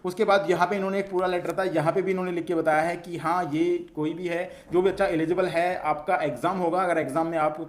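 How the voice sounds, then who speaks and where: A man's voice: high voice, fairly even intonation, quick pace, hardly any pauses. A man explains an update to students as a channel host, repeating his points as he goes. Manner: repetitive, question-and-answer explaining.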